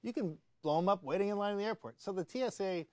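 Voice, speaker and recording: A man's voice: high voice, fast talker, no echo. An American man co-hosting a political talk show, insistent and often repeating his words as he argues. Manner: insistent, stammering repetition of phrases. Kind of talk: opinionated political discussion.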